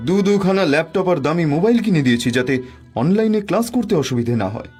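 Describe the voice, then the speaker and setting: A man playing a proud, brusque middle-aged father in a cartoon, speaking emphatically. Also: on the high side. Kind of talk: Bengali cartoon voice acting.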